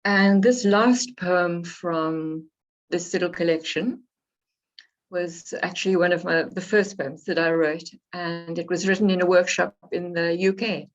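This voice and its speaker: A woman from Africa, slightly hoarse from a cold, reading her poems and introducing them in a reflective, unhurried way. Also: on the low side, steady tone. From South Africa. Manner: unhurried, reflective, pausing between phrases. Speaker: poet. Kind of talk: poetry reading with spoken introductions. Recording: dull lo-fi recording.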